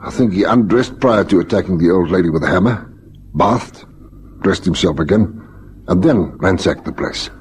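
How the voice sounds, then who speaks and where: An actor playing a polite, probing police lieutenant in a vintage radio drama, on a faintly noisy recording. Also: male voice, deep voice, lively intonation, normal speed, breathy edge, heavily acted. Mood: methodical, deferential. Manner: hesitant, courteous questioning.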